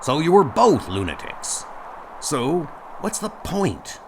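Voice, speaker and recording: A man voicing a gruff, blunt, exasperated starship doctor in an audio comic dub. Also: a little high, wildly swooping pitch, slow pace, background noise, voice pushed up, full character voice. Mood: sardonic. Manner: blunt, argumentative, sardonic.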